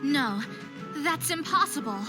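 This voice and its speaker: A woman's voice: high-pitched, lively intonation, measured pace, music in the background. A woman voicing an anxious but determined young female figure skater in a visual novel game, given to self-doubt and pep talks. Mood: amazed, indignant.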